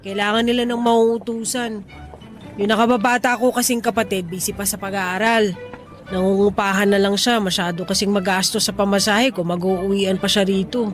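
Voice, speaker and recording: A woman's voice: mid-range voice, flat delivery, moderate pace, soft background music, natural voice. A woman playing a blunt tomboy mechanic. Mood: bantering. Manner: blunt, joking banter. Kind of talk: radio drama acting.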